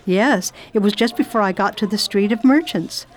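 A woman's voice: medium pitch, moderate pace, close to an everyday voice. Genteel, curious lady traveller acted by a woman. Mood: reflective narrating. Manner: polite, inquisitive, exclamatory. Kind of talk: radio drama acting and narration. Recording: faint background noise, ambience.

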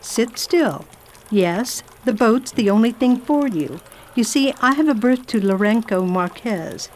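A formidable spinster travel writer who gives orders and talks down to everyone, as a woman acts her. Her manner is crisp, condescending and brisk. Radio play acting. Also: fairly high pitch, animated, darkish tone. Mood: bossy. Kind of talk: amateur radio drama acting.

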